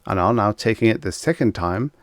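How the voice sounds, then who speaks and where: A man voicing a pompous professor of the future in an audiobook story, lecturing didactically with declamatory, deliberate emphasis. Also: lowish voice, animated, dry recording, clean studio sound, natural voice. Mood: pompous, didactic.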